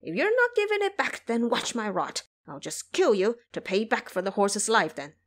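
High-pitched monkey warrior acted by a woman, hot-tempered, loud, taunting, defiant, audio drama. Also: sing-song, normal speed. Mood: threatening. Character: brash, hot-tempered male Monkey King, warrior disciple.

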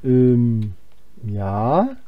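A man's voice: medium pitch, very animated, drawn-out words, narrow, tinny audio. A young man giving casual gameplay commentary, rambling and thinking aloud as he plays. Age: young adult. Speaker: let's player.

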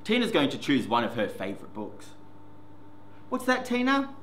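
A man hosts story time, reading a picture book to young children in an expressive, sing-song way. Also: on the high side, normal speed. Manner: expressive, sing-song, addressing small children with simple words. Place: indoor classroom reading corner. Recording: roomy echo with faint background noise.

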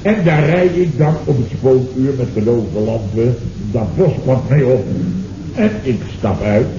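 A man's voice: medium pitch, expressive, measured pace, close to an everyday voice. A dull, noisy old radio comedy recording with a roomy echo. An actor plays an indignant Dutch man, flustered and stammering as he blusters through the story of his misfortune. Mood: indignant storytelling. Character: flustered, indignant man recounting his own disastrous errand.

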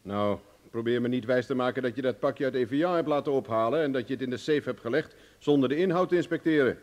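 A man's voice: mid-range voice, fast, a little mellow, natural voice. An actor playing a self-assured, hard-bargaining man in a radio drama, commanding and mocking in manner. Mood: taunting, confident. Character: cocky, hard-bargaining male adventurer, threatening and mocking.

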